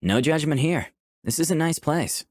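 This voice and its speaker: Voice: mid-range voice, normal speed, no echo, clean studio sound. A person playing through a visual novel, reading the protagonist's lines and narration aloud with a playful, flirtatious manner. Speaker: player voicing the protagonist and narration.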